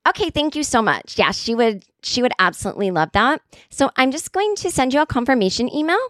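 A woman's voice: high voice, sing-song, brisk, a bit bright, no echo, studio quality, voice pushed up. A woman voicing a sweet, chirpy young female assistant in a comedy story. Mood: sweet, polite.

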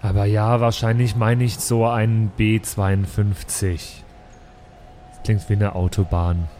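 A man's voice: on the low side, little pitch movement, measured pace, mellow, faint music behind. A man chatting casually while improvising as a player in a role-playing podcast.

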